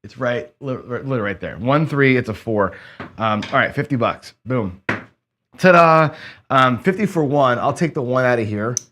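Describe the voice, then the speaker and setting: A man's voice: medium pitch, expressive, normal speed, a bit bright, slight background noise. A man hosting a casual craps session, chatting to viewers with frequent restarts as he plays. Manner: chatty, self-talking, stammering restarts. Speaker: casino gaming host. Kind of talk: casual chat while playing craps.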